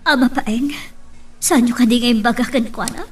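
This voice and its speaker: An actress playing a remorseful mother in an Ilocano radio drama, pleading haltingly in an Ilocano accent. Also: on the high side, fairly even intonation, far from the mic, lightly acted. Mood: hesitant.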